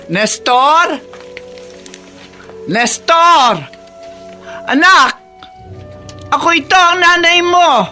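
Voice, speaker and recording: A woman's voice: sits high, bright, forceful tone, exaggerated voice. An actress playing an older woman, a concerned and firm mother and grandmother, motherly and admonishing, in a radio drama. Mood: urgent calling. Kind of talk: Tagalog radio drama acting.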